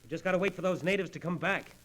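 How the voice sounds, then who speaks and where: A man playing a steady, cheerful young stranded American airman, breezy and colloquial, in a 1940s radio drama. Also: high-pitched, very fast. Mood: impatient.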